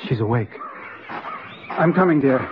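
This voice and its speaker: An actor playing a desperate, devoted husband in an old-time radio drama, earnest and pleading in delivery. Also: male voice, medium pitch, animated, unhurried, natural voice. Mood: soothing. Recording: dull, noisy old radio-play recording.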